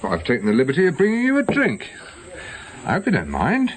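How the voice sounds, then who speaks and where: A man's voice: a little high, wildly swooping pitch, fast talker, rough recording. An actor playing a polite, probing man with an English accent in a radio drama, hesitant but persuasive. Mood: ingratiating. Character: smooth-talking, probing man posing as crime writer. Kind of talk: naturalistic British radio drama acting.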